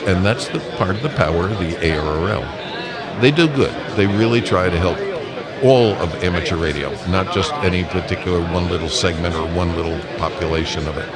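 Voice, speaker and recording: Busy crowd chatter surrounds a man with a fairly low voice. He talks casually as an interview guest, laying out his points step by step with emphasis, as a representative at a live convention event. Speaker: amateur radio association representative, interview guest.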